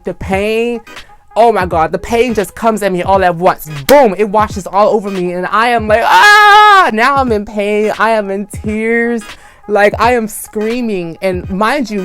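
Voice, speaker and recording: A young man with a high-pitched voice telling a dramatic personal story, acting out screams and quoting people as he goes. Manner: animated, acts out screams and quotes. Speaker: storytime vlogger. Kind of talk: casual storytime.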